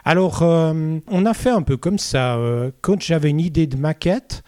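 Mellow-voiced Swiss musician, Vaud accent. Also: male voice, fairly high pitch, a little slow, smooth, no echo, clean studio sound. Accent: Vaud Swiss French. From Switzerland. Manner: hesitant, many "euh" fillers, chatty storytelling. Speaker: musician guest. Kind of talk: casual interview chat.

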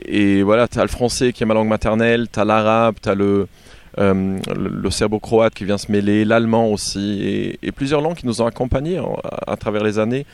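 A man being interviewed as a band singer, chatting casually and haltingly, often repeating words as he goes. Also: fairly low pitch, fairly even intonation, darkish tone, smooth. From born in Algeria.

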